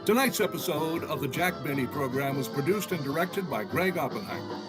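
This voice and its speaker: Music plays behind a male announcer with a fairly high, very animated voice. He reads scripted credits in old-time radio style. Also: a little fast.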